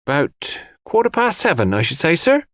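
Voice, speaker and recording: Audiobook casting: excitable young maidservant played by a man, pitched high, deferential and flustered, dry recording. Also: moderate pace, no echo. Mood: deferential, eager.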